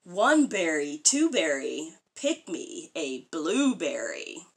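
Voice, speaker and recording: A woman in her thirties reading a picture book aloud to a young child in an expressive, sing-song way, adding questions and comments. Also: low-pitched, takes it slowly, breathy edge. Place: small furnished room indoors.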